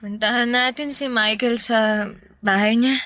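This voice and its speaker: A woman's voice: fairly high pitch, fairly even intonation, unhurried, bright, forceful tone, no echo. An actress playing a drunk, heartbroken young woman in a Filipino radio drama, with a tipsy, whiny drawl and a Filipino accent. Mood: tipsy, coaxing.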